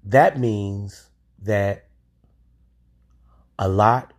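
A man's voice: on the low side, drawn-out words. A man in his forties hosting a solo podcast, talking in an emphatic, opinionated way with occasional swearing. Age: 40s. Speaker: podcast host.

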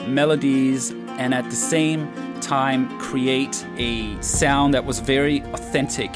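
A man, a composer, giving relaxed, detailed answers in an interview, with a deep voice. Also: slow, loud music behind the voice.

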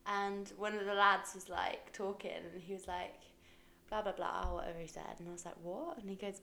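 A woman's voice: medium pitch, steady tone, normal speed, pushed and bright, a little hiss or hum. A woman, co-hosting a podcast in casual, giggly, teasing chat. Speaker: podcast co-host.

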